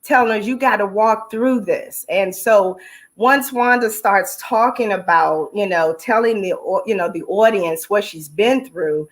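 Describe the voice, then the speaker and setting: A middle-aged woman with a mid-pitched voice speaks as an interview guest. She is heartfelt and emphatic, testifying in repeated, preacher-like phrases. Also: a little slow. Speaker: stage play actress and singer, interview guest. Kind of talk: personal, testimonial interview answers.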